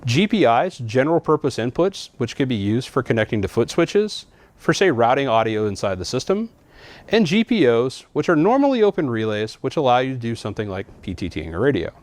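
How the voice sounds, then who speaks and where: A man's voice: mid-range voice, very animated, brisk, darkish tone, no echo. Man narrating a product walkthrough, dry studio sound. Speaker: product trainer.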